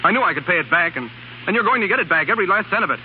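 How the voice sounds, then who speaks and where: A wounded, jealous American husband with bristling pride. He fires words off extremely fast in a fairly high male voice, bitter and clipped, as in a 1930s radio play. The old broadcast is muffled, with faint noise. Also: expressive. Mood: hurt, defensive. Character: proud, wounded young husband, bitter, jealous struggling writer. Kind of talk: 1930s radio drama acting.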